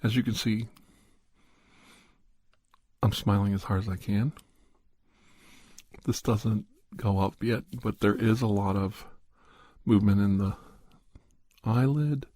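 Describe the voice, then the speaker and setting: A man hosting an ASMR podcast, talking informally in a hushed, unhurried way close to the microphone, sometimes slipping toward a whisper. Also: on the low side, fairly even intonation, no room sound. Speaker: podcast host. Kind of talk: ASMR, rambling update and story recap.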